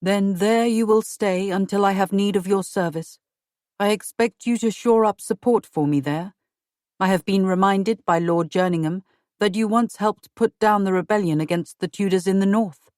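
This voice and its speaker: A woman voicing a stern middle-aged Tudor queen who speaks in a measured, commanding way. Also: mid-range voice, a little mellow, dry recording, clean studio sound, natural voice. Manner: commanding, measured royal speech.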